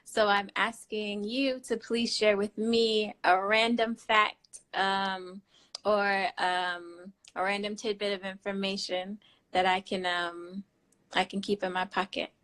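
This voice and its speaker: A woman's voice: mid-range voice, little pitch movement, takes it slowly, a bit bright. A woman hosting a casual interview, friendly and playful with her guest, on a live video call. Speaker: host. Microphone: phone on a live video call.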